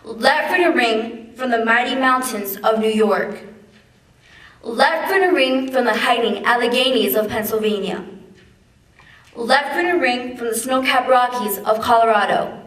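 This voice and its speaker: A young woman's fairly high voice carries from a distance in a very echoey room. She recites a famous speech in a measured, declamatory way, as a student reader at a public event. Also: a bit bright. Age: young adult. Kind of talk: dramatic reading of a famous speech. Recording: echoey hall, far from the mic.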